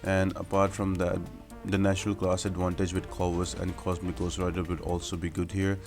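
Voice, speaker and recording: A man's voice: low-pitched, completely flat delivery, moderate pace. A man giving casual, step-by-step game walkthrough commentary in an explanatory, instructional way. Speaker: gaming video creator giving fight tips. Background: music behind the voice.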